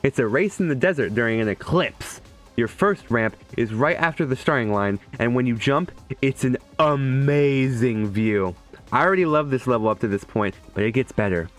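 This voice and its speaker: A man's voice: mid-range voice, fairly quick. A young man giving casual, comedic, scripted video game commentary in an irreverent, profane, joking manner. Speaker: video game reviewer and streamer. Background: faint music.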